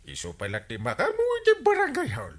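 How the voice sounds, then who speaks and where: A male actor playing an excitable, whiny village captain in an Ilocano radio comedy drama, exclamatory and hesitant, with an Ilocano accent. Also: mid-range voice, brisk. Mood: breezy.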